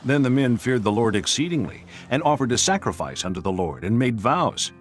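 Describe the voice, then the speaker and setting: Guy narrating bible audio drama, solemn scripted read, medium pitch, faint music.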